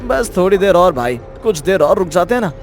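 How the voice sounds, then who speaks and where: A man voicing a carefree young friend who gets spooked in a horror story, talking in an animated, informal way. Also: sits high, brisk, faint music behind, pitched above the performer's own voice. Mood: teasing. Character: carefree young male friend turned terrified by a ghost. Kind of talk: acted dialogue in horror storytelling.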